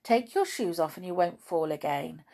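A woman voicing a kind, golden-haired female doll in a storybook, speaking in a soothing, gently instructive way. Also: fairly low pitch, lively intonation, moderate pace, vocal fry.

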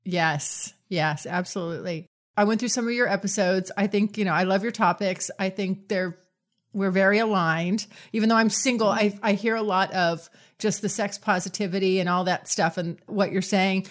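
A woman's voice: medium pitch, steady tone, moderate pace. A woman hosting a casual interview, blunt and effusive, swearing freely as she talks. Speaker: podcast host.

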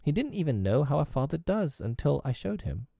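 A man's voice: a little high, very animated, a little fast, vocal fry, dark tone, no echo, clean studio sound, pitched above the performer's own voice, full character voice. A man voicing squabbling young children in a storybook, whiny and eager to complain. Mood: grumbling.

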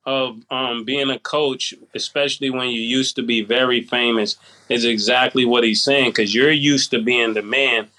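A man's voice: medium pitch, pushed and bright, low-quality recording. A man co-hosting a podcast in a studio, loose and joking, calling out catchphrases. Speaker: podcast co-host. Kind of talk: casual chat.

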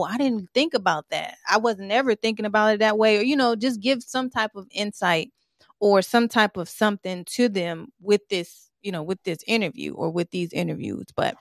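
A woman's voice: mid-range voice, no room sound, studio quality. A woman in her forties from Tennessee hosting an interview, talking in a loose, rambling way full of fillers. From Tennessee, USA. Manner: rambling, uses fillers like "you know" and "like". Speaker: interview host. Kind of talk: casual interview chat.

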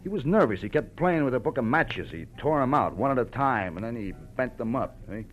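An actor playing a nervous small-time informant in an old radio detective drama, halting and full of street slang. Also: male voice, medium pitch, sing-song, close to an everyday voice. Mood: nervous, hesitant. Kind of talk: 1940s radio detective acting. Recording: muffled, noisy old radio recording.

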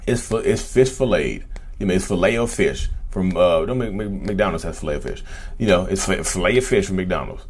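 A man's voice: low-pitched, brisk, slightly rough. A man in his thirties talking casually and emphatically to his viewers, with slangy phrasing and a habit of repeating himself. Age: 30s. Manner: animated, repetitive and emphatic, slangy, acts out imagined dialogue. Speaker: YouTube host speaking about his own narcissism. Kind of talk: casual direct-to-camera talk.

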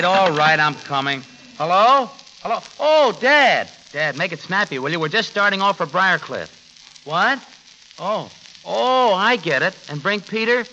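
An excitable young soldier bridegroom. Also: male voice, on the high side, exaggerated voice. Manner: animated, boyish, grumbling. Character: eager, exasperated young soldier bridegroom on leave. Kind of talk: 1940s radio comedy acting. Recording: muffled, noisy vintage radio recording.

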